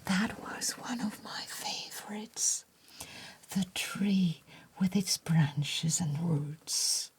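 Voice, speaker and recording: A woman playing a gentle, nostalgic bookshop keeper in a breathy ASMR roleplay whisper, with genteel, deliberate phrasing. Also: lowish voice. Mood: quiet delight.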